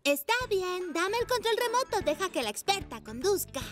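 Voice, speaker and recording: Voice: very high-pitched, big pitch swings, moderate pace. A performer voicing a playful, self-assured little girl motorbike in a Spanish-dubbed children's cartoon, exclamatory and cheeky, with music behind. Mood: smug. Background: loud children's music.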